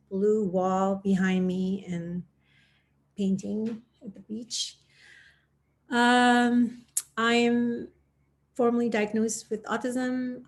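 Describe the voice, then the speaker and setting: A woman's voice: mid-range voice, darkish tone. A woman from Indonesia speaks unhurriedly on a video call from her dining room. She hesitates often and corrects herself as she introduces herself. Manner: hesitant, many fillers and self-corrections. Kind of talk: round table introduction.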